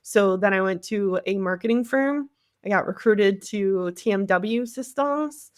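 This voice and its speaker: A woman's voice: mid-range voice, unhurried, a little mellow, dry recording, clean studio sound. An American woman telling her own story as an interview guest, candid and conversational, often acting out what people said to her. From Cleveland area, United States. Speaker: podcast guest, marketing agency CEO.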